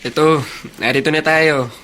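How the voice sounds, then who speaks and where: A man's voice: fairly high pitch, fairly even intonation, normal speed, sharp tone, slight background noise. A man with a Filipino accent playing a playful, affectionate young big brother in a radio drama, in a boyish manner. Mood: calm.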